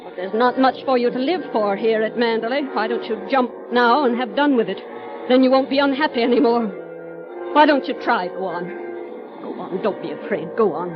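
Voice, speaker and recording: A woman playing a cold, sinister housekeeper in a 1930s radio drama, urging insistently in a hushed, hypnotic way. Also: fairly high pitch, normal speed, close to an everyday voice. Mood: menacing coaxing. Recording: muffled old radio broadcast, music behind.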